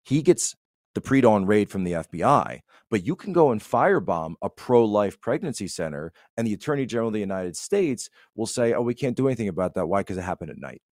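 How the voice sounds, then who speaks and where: A man's voice: fairly low pitch, very animated, a little fast, darkish tone, no echo, studio quality. A man giving conversational political commentary as a podcast guest, emphatic and repeating phrases to drive points home.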